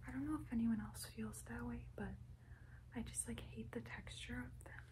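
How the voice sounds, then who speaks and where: A woman's voice: a little high, steady tone, noisy background. A young woman rambling casually in an ASMR video, full of filler words. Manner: rambling, filler-heavy, unhurried. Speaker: ASMR creator.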